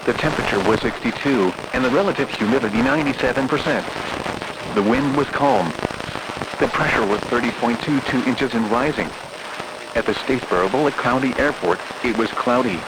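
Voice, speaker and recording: A synthetic man's voice reads a scripted weather bulletin in a formal, impersonal, measured way, as an automated radio announcer. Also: mid-range voice. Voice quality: synthetic text-to-speech voice. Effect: radio broadcast. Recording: noisy weather radio reception with static.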